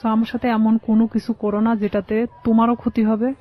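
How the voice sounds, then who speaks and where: A woman's voice: a little high, little pitch movement, a little fast. A lo-fi, muffled recording carries a woman with a Bangladeshi accent. She tells her own life story as an interview guest, explaining conversationally and in a roundabout way. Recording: dull, lo-fi voice sound.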